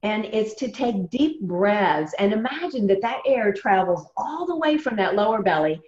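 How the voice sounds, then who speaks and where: A woman, a voice coach giving measured, emphatic advice in a remote interview. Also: mid-range voice, animated, darkish tone. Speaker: voice coach guest. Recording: roomy, dull lo-fi sound.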